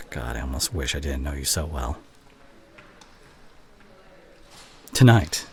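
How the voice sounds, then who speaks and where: A man playing an exasperated, teasing college student in an ASMR roleplay, with a halting, sighing, sarcastic delivery. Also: a bass voice, animated. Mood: indignant, teasing. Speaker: audio roleplay voice actor. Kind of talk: ASMR roleplay acting, one side of a conversation. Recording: clear background ambience noise.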